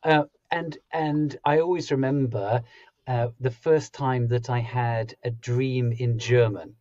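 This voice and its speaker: A man in his thirties hosts a podcast interview, curious and friendly, with a mellow, warm tone. The sound is dry and a bit dull, from a desk mic on a call. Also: mid-range voice, fairly even intonation, slow pace, no echo. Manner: friendly, curious, some fillers. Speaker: podcast host. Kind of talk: interview questions. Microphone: headphones with a desk mic.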